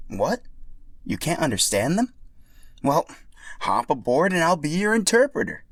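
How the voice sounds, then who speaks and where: A man's voice: a little high, big pitch swings, a little slow, no room sound, studio quality, pitched above the performer's own voice. A man voicing a friendly, easygoing dinosaur sidekick in a storybook tale, speaking in a casual, upbeat way. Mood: helpful.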